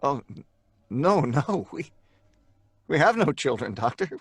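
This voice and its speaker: A man playing a troubled middle-aged husband and father in a live radio play, talking in a halting, uneasy way. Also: mid-range voice, very animated, slightly rough, dry recording, full character voice. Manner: halting, uneasy, with nervous laughs.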